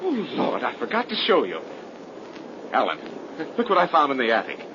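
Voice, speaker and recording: Cocky no-nonsense young man in 30s radio horror, slangy, very animated, muffled noisy old recording. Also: medium pitch. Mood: cheery, impatient. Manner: brisk, slangy, emphatic. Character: brash, sceptical young man, hearty and commanding. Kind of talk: 1930s radio horror drama acting.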